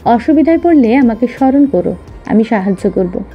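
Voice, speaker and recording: A woman's voice: fairly high pitch, expressive, moderate pace, husky, dull audio, soft background music. A woman voicing a wary but helpful snake in a children's story. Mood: reassuring. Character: wary, helpful snake who distrusts humans.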